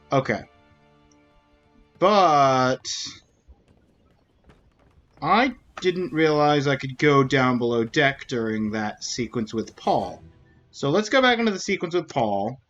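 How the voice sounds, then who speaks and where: A man's voice: medium pitch, fairly bright tone, lo-fi sound. A man casually commentating a game stream, thinking aloud as he reasons through clues.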